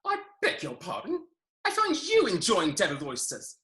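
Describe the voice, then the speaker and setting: A lovesick young army captain from Victorian farce, played by a woman. The voice is bright and very animated. The delivery is formal, with wounded dignity. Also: on the low side, normal speed. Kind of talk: staged reading of Victorian farce.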